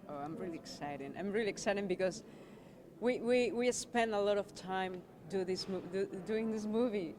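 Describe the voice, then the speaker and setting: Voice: medium pitch, moderate pace, background noise. A non-native English speaker on a film cast, answering casually and searching for words. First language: not English. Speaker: film cast member being interviewed. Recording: crowd chatter in press area.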